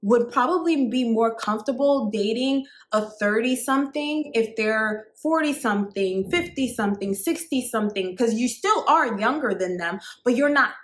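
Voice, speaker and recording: A woman's voice: on the high side, animated, moderate pace, hardly any pauses, reverberant. A woman in her thirties giving dating advice to women straight to camera, chatty and emphatic, with many fillers. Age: 30s. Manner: chatty, emphatic, repeats "like" and "actually". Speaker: dating coach. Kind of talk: direct-to-camera advice talk.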